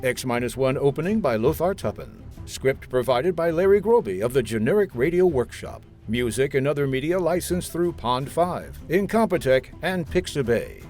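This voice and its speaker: A man's voice: mid-range voice, very animated, moderate pace, hardly any pauses, a little mellow, faint music behind. A man reading scripted closing credits as an old-time radio announcer.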